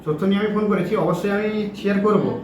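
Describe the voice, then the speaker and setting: A man's voice: high-pitched, racing. A young man making an insistent, repetitive phone call in casual confrontational conversation. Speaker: prank caller. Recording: echoey room with background noise.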